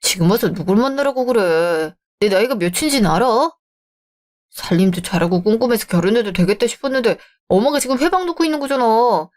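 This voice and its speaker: A woman's voice: on the high side, expressive, a little fast, darkish tone, lightly acted. A woman voicing a young man caught between his mother and his fiancée in a radio drama, placating and wheedling. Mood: frustrated.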